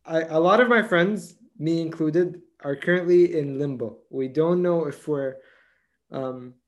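A man's voice: a little high, unhurried. A man in his twenties co-hosting a podcast, telling a story animatedly with lots of fillers. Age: 20s. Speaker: podcast co-host. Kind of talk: casual chat.

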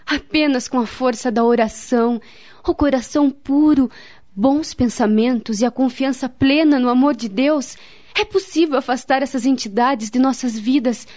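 A woman's voice: sits high, normal speed, darkish tone, close to an everyday voice. An actress playing a grave, devout woman in a radio drama, speaking solemnly and earnestly with a Brazilian accent. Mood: solemn, advising. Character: grave, devout woman friend, counselling and recalling family tragedy. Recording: dull, lo-fi radio drama recording.

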